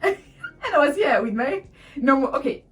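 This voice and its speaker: A woman's voice: high voice, unhurried, recorded from a distance. Laughing Ugandan woman telling a story. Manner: animated, laughs often, addresses viewers as guys. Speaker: vlogger. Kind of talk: casual storytime chat. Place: small room indoors.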